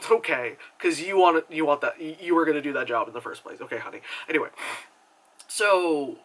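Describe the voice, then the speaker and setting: A young man talks casually through a movie review, rambling and filling gaps with likes and you-knows. His voice sits a little high with a bright, forward edge. He breaks into animated acted reactions. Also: a little fast, low-quality recording. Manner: chatty and animated, many fillers, jumps into high acted reactions.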